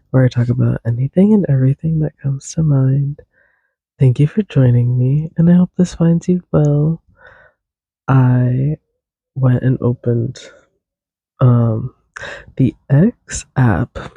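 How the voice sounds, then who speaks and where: A man's voice: medium pitch, vocal fry, dark tone, husky, no echo, lo-fi sound. A young man hosting a solo podcast, rambling casually and a little congested, drifting off on tangents and humming tunes. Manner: casual, tangent-prone, hums and sings tunes. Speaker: podcast host.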